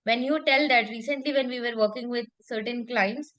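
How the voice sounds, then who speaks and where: Woman, high pitch, public speaking coach, emphatic, repetitive phrasing, conversational teaching. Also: moderate pace, a bit bright. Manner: emphatic, repeats phrases, checks with "right?".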